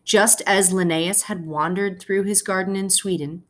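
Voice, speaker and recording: A woman's voice: mid-range voice, fairly even intonation, normal speed. A woman teacher speaking to students as she reads a children's picture book aloud, explaining things informally and phrasing carefully.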